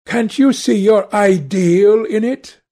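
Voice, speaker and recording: Guy doing a bitter young gentleman for an audiobook, vain, intense, high voice, drawn-out slow words. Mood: despairing. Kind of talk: dramatic audiobook character voice.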